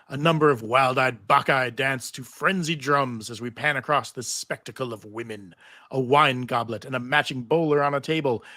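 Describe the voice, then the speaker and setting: A man's voice: mid-range voice, bright, forceful tone, no room sound, clean studio sound. A man reading stage directions as narrator in a live table read.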